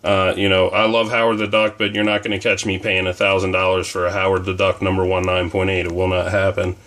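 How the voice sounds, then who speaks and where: A man talking casually to camera about comic collecting, hoarse from a cold, rambling with many ums and uhs. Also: low-pitched, completely flat delivery, quick pace, fairly bright tone.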